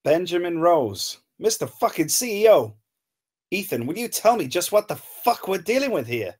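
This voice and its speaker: Investigator, male table-read actor: exclamatory, foul-mouthed, lively pitch on the higher side. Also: normal speed. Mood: alarmed, exasperated. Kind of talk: amateur screenplay table read.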